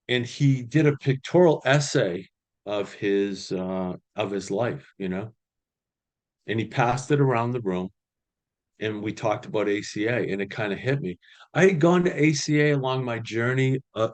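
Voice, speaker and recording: A man, sharing his recovery story at a meeting over a video call, halting with many fillers. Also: fairly low pitch. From Boston area, US. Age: 60s. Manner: halting, many ums and you-knows, restarts. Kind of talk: personal recovery share.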